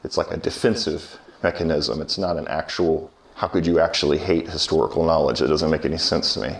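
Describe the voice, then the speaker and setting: A man who teaches history, talking alone in a measured, reflective way with frequent pauses and restarts. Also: very deep voice, gravelly. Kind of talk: solo talk to camera.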